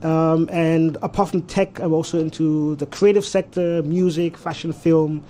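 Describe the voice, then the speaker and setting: A man's voice: fairly high pitch, fairly even intonation, unhurried, dark tone. A Ghanaian man with an Austrian-tinged English accent, a tech consultant explaining his field in a talk show interview.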